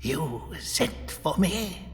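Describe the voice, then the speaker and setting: A man voicing an anxious, servile little alien engineer in a sci-fi audiobook, with a strained, creaky voice and a nervous, deferential manner. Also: fairly high pitch, expressive, very slow, slight background noise, pitched above the performer's own voice. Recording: long reverb, faint music behind.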